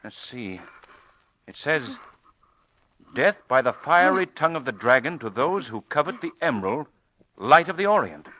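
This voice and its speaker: A man playing a confident gentleman sleuth in a 1940s radio drama, with a brisk, authoritative manner. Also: mid-range voice, big pitch swings, highs cut off. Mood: intrigued, wry.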